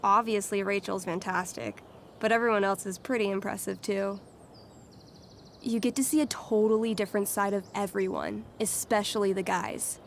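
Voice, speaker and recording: A woman's voice: medium pitch, fairly even intonation, normal speed, slight background noise, lightly acted. A woman playing a friendly, candid, considerate teenage girl in a video game, chatty and earnest. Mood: enthusiastic, chatty. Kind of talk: naturalistic video game acting.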